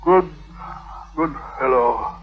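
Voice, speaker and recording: An actor playing an ailing, gluttonous, trusting husband in vintage radio drama, halting and groaning as he speaks. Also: medium pitch. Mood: feeble, grateful. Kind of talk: 1950s radio drama acting. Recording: tinny vintage radio recording, faint music.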